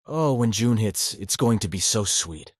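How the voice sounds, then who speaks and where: A man playing a cynical, self-deprecating high school student, narrating a visual novel in sardonic, muttering asides. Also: lively intonation, unhurried, no echo, clean studio sound. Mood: wry, self-indulgent. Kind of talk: first-person scripted narration, visual novel dub.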